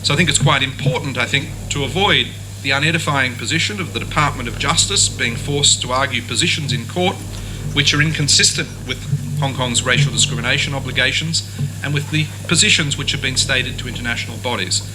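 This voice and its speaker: A man speaks at a university conference in a formal, argued manner at a mid pitch. He sets out his points steadily. The recording is noisy. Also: brisk. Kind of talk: academic lecture. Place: university lecture hall.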